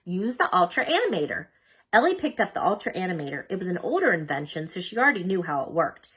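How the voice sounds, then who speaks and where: Animated, bright-voiced woman reading a storybook. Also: on the low side, quick pace, husky. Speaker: storybook reader. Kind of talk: expressive children's read-aloud narration.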